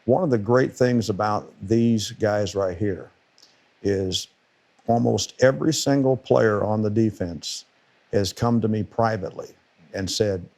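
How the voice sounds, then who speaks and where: A middle-aged man speaks in a powerful, somewhat low voice. He is a football coach in relaxed podcast talk, hammering his points with repetition. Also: a little slow, gravelly. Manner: emphatic, repeats words for stress. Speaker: football defensive coordinator. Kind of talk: casual podcast conversation.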